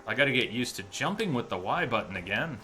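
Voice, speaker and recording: A man's voice: medium pitch, very animated, fast talker, bright, forceful tone. A man streaming a video game with casual commentary, thinking aloud as he plays. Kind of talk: casual live playthrough commentary. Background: game music.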